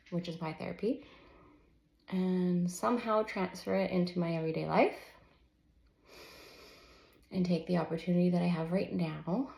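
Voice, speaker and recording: A woman in her early forties talking candidly and a little nervously in an unscripted personal vlog, halting and self-conscious. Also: fairly low pitch, creaky, a little mellow. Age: early 40s. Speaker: counselor and children's book author. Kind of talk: unscripted personal vlog, reading own manuscript. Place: indoors at a desk, live unedited home recording. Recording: roomy echo of an untreated room.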